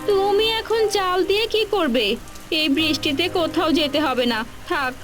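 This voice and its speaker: A woman's voice: very high-pitched, expressive, normal speed, over music. A woman playing a stern, worried village mother in a cartoon, scolding and nagging. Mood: worried, cross. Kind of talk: Bengali cartoon voice acting.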